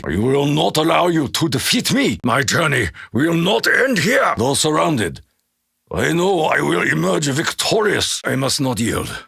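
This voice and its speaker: An actor playing a proud, honor-bound Japanese warrior in a video game, with a Japanese accent, gravelly husky voice and solemn, formal, declamatory delivery. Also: male voice, medium pitch, pushed and bright, no room sound, clean studio sound, exaggerated voice. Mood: resolute. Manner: solemn, declamatory, formal clipped phrasing with dropped articles. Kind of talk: theatrical video game voice acting.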